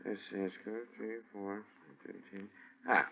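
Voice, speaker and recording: An actor playing a polite, fussily obliging bank teller in 1940s radio acting style. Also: male voice, lowish voice, flat delivery, a little slow, gravelly. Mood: fussy, polite. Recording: muffled vintage 1940s radio recording.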